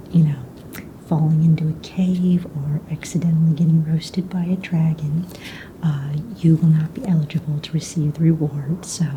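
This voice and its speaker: A woman playing a calm, businesslike young fantasy egg hunt official in a breathy, soft-spoken ASMR roleplay, casual and matter-of-fact. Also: sits low, a little hiss or hum, natural voice, poor audio. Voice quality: breathy, whispery.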